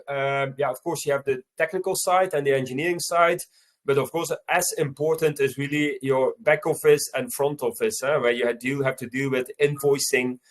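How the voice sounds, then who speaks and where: A man from Belgium with a Belgian accent, English not his first language, giving an online conference presentation over a video call, halting, with many fillers and restarts. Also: medium pitch.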